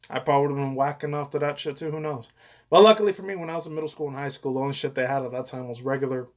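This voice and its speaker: A man in his thirties giving casual, rambling reaction commentary as a YouTuber, frank and profane. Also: medium pitch. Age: 30s. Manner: crude, frank, profane, chuckling asides.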